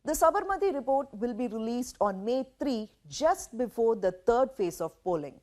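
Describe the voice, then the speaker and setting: A woman with an Indian accent presents a scripted news explainer. Her voice sits fairly high and swings widely in pitch. She delivers each point in a measured way, leaning on key words for emphasis. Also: very animated, a little mellow.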